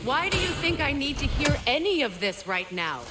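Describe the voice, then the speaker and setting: An actress playing a proud, stern goddess in a video game, speaking in formal, clipped, imperious phrases. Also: female voice, high-pitched, sing-song, normal speed, loud music behind the voice. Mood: indignant, firm. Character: proud, stern, commanding middle-aged goddess. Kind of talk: video game character acting.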